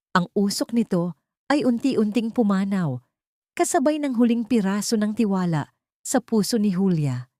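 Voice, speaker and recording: A woman narrating a dramatic audiobook in a steady, somber storytelling manner. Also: mid-range voice, dry recording, studio quality.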